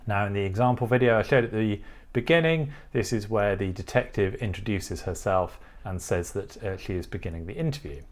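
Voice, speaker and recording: A man's voice: on the low side, brisk. A man giving a methodical, step-by-step technical tutorial as the presenter. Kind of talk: explanatory coding tutorial walkthrough.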